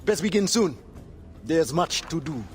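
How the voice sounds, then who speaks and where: An actor playing a proud, principled Maroon rebel leader with a Haitian Creole accent, speaking in a measured, earnest way. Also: male voice, on the high side, animated, faint music behind. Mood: grave, measured. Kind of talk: dramatic video game acting.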